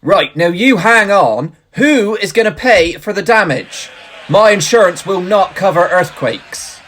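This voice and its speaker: A man acts out an angry villager in a comic audio play. His voice is high for a man. He is loud, indignant and protesting. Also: expressive, a little slow, heavily acted. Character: indignant, worked-up male villager heckling a meeting. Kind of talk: amateur audio play acting.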